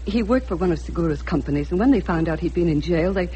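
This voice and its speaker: A woman's voice: lowish voice, lively intonation, quick pace, mellow, lightly acted. Radio play actress: distraught middle-aged mother, pleading and urgent, stammering with fear, slightly noisy recording. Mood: anxious, pleading. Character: anxious, pleading mother of a missing man. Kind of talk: radio drama acting.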